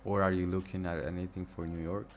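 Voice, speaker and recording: A young man with a Romanian accent talks loosely and off the cuff, thinking aloud with the odd curse. His voice sits very low. A faint noise hums behind him. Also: flat delivery, fast. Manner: casual, thinking aloud, swears freely. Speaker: live-streaming trader. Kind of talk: casual live-stream commentary. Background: music and mouse clicks.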